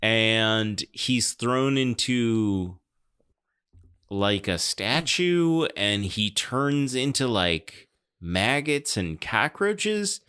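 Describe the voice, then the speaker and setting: A man hosting a podcast, discussing film casually in a halting, deliberate way with dry understatement. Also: medium pitch, expressive, pushed and bright, clean tone. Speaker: podcast host.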